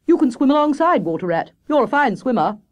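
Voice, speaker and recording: A woman voicing a vain, melodramatic, excitable male hare in a children's story, with a theatrical, indignant, wailing manner. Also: high voice, fairly quick, pitched above the performer's own voice. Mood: excited, breezy.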